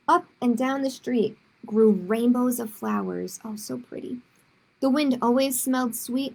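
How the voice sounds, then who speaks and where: A woman's voice: fairly high pitch, vocal fry, darkish tone, slightly rough, rough recording. A woman reading a children's story aloud expressively, with short explanatory asides to young listeners.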